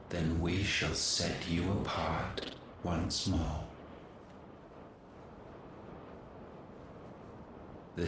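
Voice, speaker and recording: An actor playing a cold, solemn godlike being in a fantasy game, making grave, measured, archaic pronouncements. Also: male voice, low-pitched, noisy background. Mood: solemn decree. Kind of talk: dramatic fantasy game cutscene acting. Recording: cavernous echo, distant, music behind.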